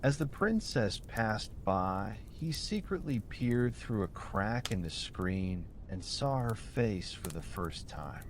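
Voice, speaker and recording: A man reads a bedtime story at a slow, deliberate pace. His medium-pitched voice swings expressively through soothing, carefully phrased narration, with a faint low rumble behind him. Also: very animated.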